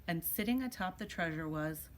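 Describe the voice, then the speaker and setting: A woman's voice: on the low side, lively intonation, normal speed. A woman teacher reading a children's storybook aloud expressively.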